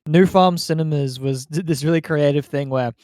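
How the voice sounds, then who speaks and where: A man's voice: fairly high pitch, normal speed, a little mellow, no room sound, clean studio sound. An Australian man hosting a podcast and chatting casually about films with a group. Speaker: podcast host.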